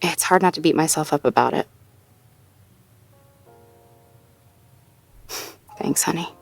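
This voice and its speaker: A woman's voice: deep voice, fairly quick, creaky, soft background music. A woman playing a sweet, self-doubting, playfully wry girlfriend in an intimate audio roleplay, talking casually and conversationally with a partner. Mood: self-doubting, downcast. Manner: conversational, casual, with sighs and soft chuckles. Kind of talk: intimate slice-of-life audio roleplay acting, one-sided conversation.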